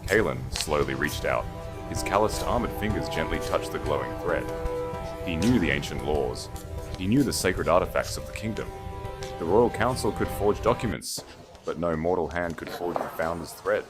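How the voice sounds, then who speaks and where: Male narrator, low voice, dramatic scripted storytelling over prominent music. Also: animated, moderate pace.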